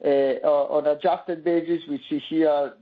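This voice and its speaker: A man's voice: fairly high pitch, level tone, normal speed. A man presenting financial results as a company executive, very hesitant, with frequent filler sounds and repeated words. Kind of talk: semi-scripted earnings call presentation. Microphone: conference call line. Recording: narrow, tinny conference phone line.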